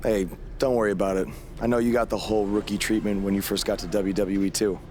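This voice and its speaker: A man's voice: on the low side, little pitch movement, quick pace, darkish tone, close to an everyday voice. A man playing an eager, modest rookie wrestler in a video game cutscene, with a casual manner. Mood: relaxed. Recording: faint ambient background noise.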